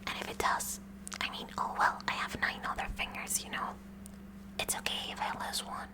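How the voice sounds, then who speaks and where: A woman voicing a kooky, curious high school science club president in a halting, excitable breathy whisper for an ASMR roleplay. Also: natural voice. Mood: nervously probing.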